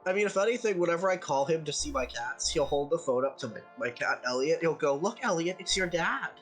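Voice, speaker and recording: A man's voice: fairly high pitch, animated, fairly quick, a bit bright, faint music behind. Congested young man, blunt and swearing. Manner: blunt, swearing, laughing often. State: congested from a sinus infection. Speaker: streamer's guest. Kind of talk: casual chat.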